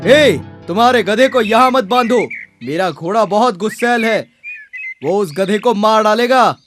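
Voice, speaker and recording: A man playing an anxious, insistent horse trader in a children's animated story. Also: sits high, measured pace, faint music behind. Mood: urgent warning.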